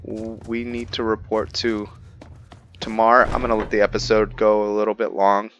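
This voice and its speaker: A man giving casual let's-play commentary, curious and thinking out loud, now and then dropping to a hushed whisper. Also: fairly low pitch, completely flat delivery, moderate pace, dull audio, a little hiss or hum. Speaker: gameplay commentator.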